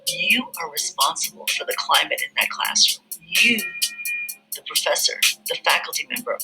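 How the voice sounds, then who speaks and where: A man's voice: a little high, poor audio. A man speaking earnestly to faculty, repeating phrases for emphasis.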